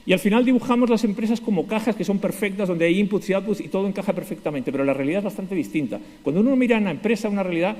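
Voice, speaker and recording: Fast-talking Spanish man in an echoey hall. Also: high-pitched, darkish tone. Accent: Spanish from Spain. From Spain, Basque Country. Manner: ironic, self-deprecating humor. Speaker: conference speaker. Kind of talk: conference keynote talk. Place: large conference hall.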